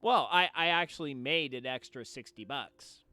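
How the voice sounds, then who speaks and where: Bright-voiced, playful male game streamer. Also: medium pitch, lively intonation, normal speed, no room sound. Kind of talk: casual livestream chat. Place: indoors at home. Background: game music faintly behind.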